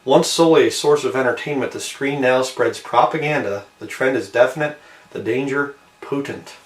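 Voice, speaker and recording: A man's voice: medium pitch, moderate pace, recorded from a distance. A man hosting a homemade video, reading aloud from a printed page and adding musing, informal commentary. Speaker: video host reading and commenting on an old newspaper. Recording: roomy, set back from the mic.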